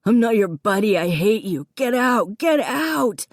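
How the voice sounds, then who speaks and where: A woman voicing a distraught grown man who yells and wails at an intruder. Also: medium pitch, sing-song, unhurried, no room sound, studio quality, pitched above the performer's own voice. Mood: yelling. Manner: yelling, raised and strained.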